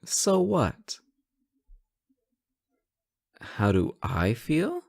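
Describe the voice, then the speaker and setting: Young male superhero played by a man, romantic audio roleplay. Slow-paced, playful, bashful, close dry sound. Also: fairly high pitch, huge pitch swings, creaky, dry recording, full character voice. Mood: flustered, shy. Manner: playful, stammering when shy. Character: teasing, flustered male superhero smitten with his nemesis. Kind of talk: romantic roleplay audio acting, addressed to listener.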